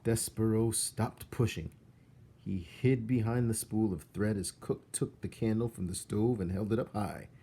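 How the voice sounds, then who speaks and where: A man's voice: lowish voice, fairly even intonation, measured pace, warm tone, breathy edge. A man reading a children's book aloud as narrator, expressively, with dramatic emphasis on key words.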